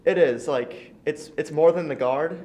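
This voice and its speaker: A man's voice: fairly high pitch, measured pace, warm tone. A young man chatting casually as a podcast guest, joking along in laid-back slang. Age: young adult. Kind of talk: casual chat.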